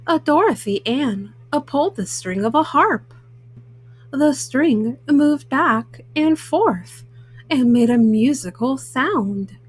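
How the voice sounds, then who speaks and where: A woman reads a children's picture book aloud, haltingly, often stopping on small hesitant fillers. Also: sits high, lively intonation, husky, no room sound. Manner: halting, word-by-word reading with frequent hesitant fillers. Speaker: storyteller, host of a children's read-aloud show.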